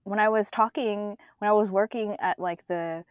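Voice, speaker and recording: A young woman from Korea chats casually on a podcast, full of fillers and quick laughs. Her voice is recorded dry and close, with no room around it. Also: mid-range voice, fairly even intonation, no echo. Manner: chatty, many fillers, frequent laughs. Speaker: podcast co-host. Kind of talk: casual chat.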